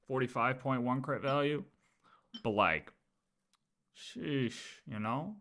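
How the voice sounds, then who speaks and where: A man's voice: medium pitch, slow, fairly bright tone. A man livestreaming a video game, chatting casually and thinking aloud in slangy, offhand asides.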